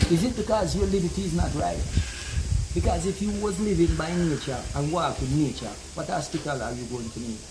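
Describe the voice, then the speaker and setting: A middle-aged Jamaican man, a guest in an animated outdoor conversation, who talks emphatically and keeps asking "you see it?". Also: high voice, background noise. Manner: emphatic, repeats "you see it?", gestures while talking. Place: open-air pavilion in a garden.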